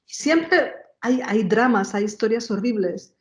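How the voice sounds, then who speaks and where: Roomy echo, woman in her sixties, high-ish voice, Madrid Spanish accent, casual conversational style, frequent tag questions. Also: expressive, slow pace. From Madrid, Spain. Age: 60s. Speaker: interview guest, artist.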